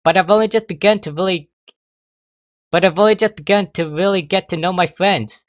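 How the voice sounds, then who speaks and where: A man's voice: high-pitched, a little fast, dry recording, highs cut off, pitched above the performer's own voice, natural voice. A man voicing an anxious, whiny young female unicorn student who pleads and protests to her mother in a story reading. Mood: panicked.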